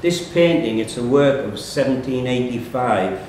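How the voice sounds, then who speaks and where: A man's voice: expressive, a little slow, darkish tone. Echoey, distant man reading quiz questions. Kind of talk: reading quiz questions aloud to live contestants. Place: pub room at a live quiz, echoey. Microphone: distant room microphone. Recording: echoey room, distant mic, faint rumbling noise.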